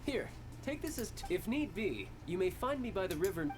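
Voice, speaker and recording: A man's voice: high voice, very animated, normal speed, noisy background. A man playing an affable, confident young elder brother in a video game, with a breezy, polite manner. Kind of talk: scripted video game character dialogue. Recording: game music playing behind.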